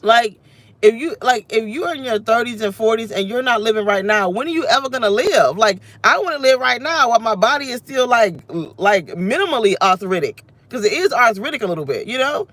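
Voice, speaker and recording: A woman's voice: a little high, expressive, a little fast, fairly bright tone, dry recording. A woman in her thirties with a slightly scratchy voice rants into her phone from the driver's seat of her car. She talks in an emotional, tumbling way, restarting sentences and circling back as she vents. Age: 30s. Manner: venting, emotional, restless, self-interrupting. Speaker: vlogger. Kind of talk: unscripted personal vlog rant. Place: inside her parked car. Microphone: phone camera held close.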